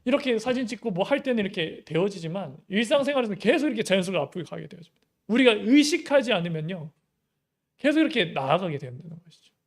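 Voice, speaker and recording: A man's voice: sits high, sing-song, fast. A young adult male pastor giving a Sunday sermon in an easy, conversational way, with many rhetorical questions.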